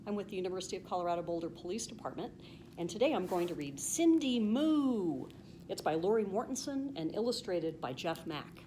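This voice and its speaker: Animated American woman reading a children's story. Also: lowish voice, fairly quick, a little hiss or hum. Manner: animated, emphatic sing-song rhyming delivery. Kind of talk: expressive children's storybook read-aloud.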